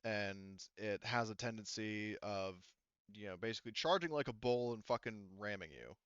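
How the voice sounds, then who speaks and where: Male game master, bright and clear voice, halting casual explanations, dry but slightly lo-fi sound. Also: lowish voice, no echo. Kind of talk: casual tabletop game narration.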